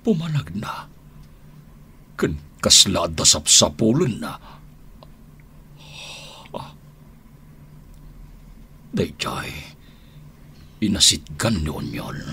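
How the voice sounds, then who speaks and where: A man's voice: sits low, very animated, sharp tone, a little hiss or hum, heavily acted. An actor playing an older, sly gang boss and father in an Ilocano radio drama, drawing out his words with ums and ahs. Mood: intrigued, musing. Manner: drawn-out, with hesitant ums and ahs.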